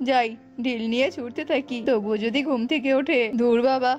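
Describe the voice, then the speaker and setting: A woman playing an excitable, easily bewildered young bridegroom in a cartoon, full of exclamations and repeated pleas. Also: high voice, normal speed, lo-fi sound, soft background music, lightly acted. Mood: bewildered, exasperated. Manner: exclamatory, pleading, repetitive. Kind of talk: Bengali cartoon voice acting.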